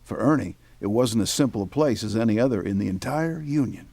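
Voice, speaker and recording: An actor playing a wry, folksy storyteller, giving scripted narration for a period audio drama. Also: male voice, lowish voice, animated, fairly quick, gravelly, over loud music. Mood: wry, measured.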